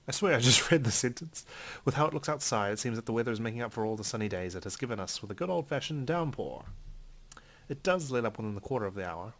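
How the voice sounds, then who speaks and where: A man's voice: fairly low pitch, gravelly, a bit bright. A man reading visual novel text aloud as a let's player, with occasional brief commentary.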